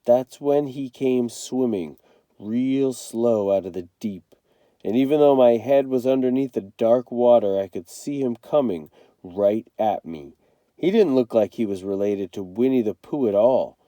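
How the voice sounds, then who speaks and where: A man reading a children's novel aloud in an expressive, dramatic storytelling style. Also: medium pitch, a little slow, no room sound. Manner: dramatic, acts out the dialogue. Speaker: read-aloud reader, teacher.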